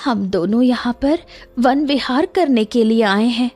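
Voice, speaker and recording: Woman playing a high-voiced gentle fairy-tale queen. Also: unhurried, soft background music. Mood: gentle, reassuring.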